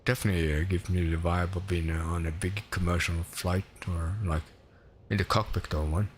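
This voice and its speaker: Deep-voiced Swedish man streaming a game. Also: flat delivery, normal speed, slight background noise. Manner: chatty, rambling, self-correcting. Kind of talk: casual gameplay commentary.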